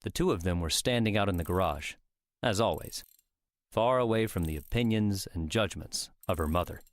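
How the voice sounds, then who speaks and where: A man's voice: low-pitched, normal speed, no room sound. A man reading scripted story narration for an audiobook.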